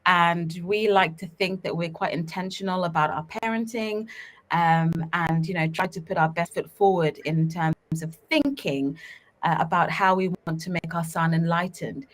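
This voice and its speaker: A woman, talking as an interview guest in a relaxed, reflective way with many fillers, over a video call. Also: lowish voice, moderate pace. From Uganda. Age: 30s. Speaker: guest, startup co-founder. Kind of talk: casual interview talk.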